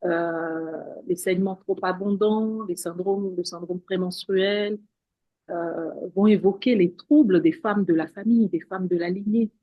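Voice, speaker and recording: An earnest woman guest talks at an ordinary middle pitch. She loops over her words and restarts her phrases. The sound is close and dry. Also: fairly even intonation, unhurried, dry recording, muffled recording. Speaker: guest, women's wellness practitioner. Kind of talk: spiritual interview talk.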